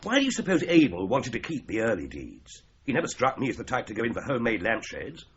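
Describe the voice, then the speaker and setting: A breezy, wry English solicitor in a 1980s radio drama. He jokes drily and offhandedly, his pitch swinging freely. The sound is slightly muffled with faint noise. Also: wildly swooping pitch, fast. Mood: puzzled, wry. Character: wry, flippant male solicitor, breezy and clubbable. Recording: dull, noisy 1980s radio recording.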